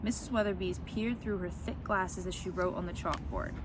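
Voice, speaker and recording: A woman reading a children's picture book aloud as a teacher would, expressively acting out the story. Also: normal speed. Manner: expressive, acts out the characters' lines. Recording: clear background noise, ambient rumble.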